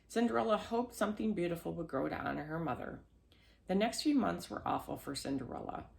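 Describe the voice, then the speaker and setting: A woman's voice: fairly low pitch, creaky. A woman reading a children's storybook aloud as a storyteller, now and then stumbling and repeating a word. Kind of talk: scripted children's storybook narration.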